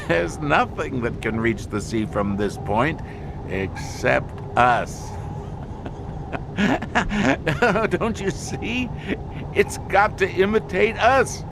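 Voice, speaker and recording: An actor playing an unhinged, paranoid man in an audio drama, talking in halting phrases broken by manic laughter. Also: sing-song, creaky, heavily acted. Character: unhinged man gripped by paranoid terror of an imitating monster. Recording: constant noisy rumble behind the voice.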